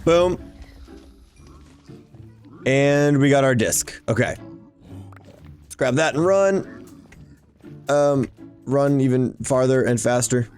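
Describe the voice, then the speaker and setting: A man's voice: medium pitch, lively intonation, takes it slowly, pauses often, a little mellow, faint music behind. A man giving casual, exclamation-filled gameplay commentary, often talking to himself as he plays. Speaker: gaming YouTuber hosting a Minecraft series.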